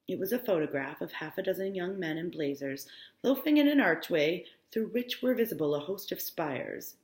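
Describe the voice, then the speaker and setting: Low-voiced woman in an echoey room. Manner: explanatory, breaks off to paraphrase in plain words. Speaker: high school English teacher. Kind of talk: reading a novel aloud with explanatory asides.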